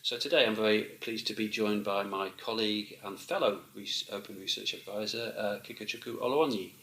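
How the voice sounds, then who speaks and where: A man's voice: lowish voice, a little fast, bright, forceful tone. A man hosting a casual interview podcast, talking hesitantly with many ums and restarts. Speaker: podcast host, open research adviser. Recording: roomy echo.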